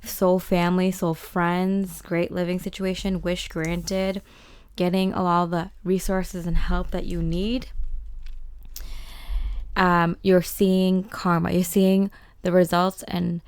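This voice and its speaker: A young woman reading tarot and channeling a forecast in a slightly raspy voice, rambling casually with many fillers and restarts. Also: fairly low pitch, little pitch movement, slow, no echo, clean studio sound.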